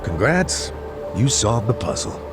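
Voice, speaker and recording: An actor voicing a jaded, streetwise middle-aged former detective in a video game, casual and sardonic, with a goading way of talking. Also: male voice, fairly low pitch, sing-song, a little slow. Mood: needling. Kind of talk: video game cutscene voice acting. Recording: dramatic music behind the voice. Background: loud background music.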